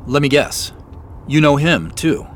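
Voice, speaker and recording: A man's voice: fairly high pitch, unhurried, slight background noise, lightly acted. A man acting in an audio drama as a suspicious, on-edge man investigating a mystery, with a dry, guarded manner. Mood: guarded, wry. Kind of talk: naturalistic audio drama dialogue.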